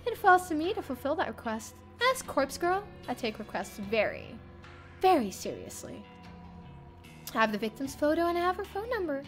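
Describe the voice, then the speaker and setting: A woman streamer reading a visual novel aloud as first-person narration, expressive and theatrical. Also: high-pitched, normal speed. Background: game music.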